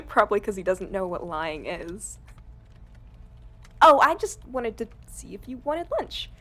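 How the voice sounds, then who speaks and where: Woman playing friendly recruiter colleague, medium pitch, casual chatty delivery, affectionate, audio drama. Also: very animated, takes it slowly, fairly bright tone, exaggerated voice. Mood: friendly, amused. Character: friendly, chatty former recruiter at mercenary company. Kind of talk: cinematic audio roleplay acting.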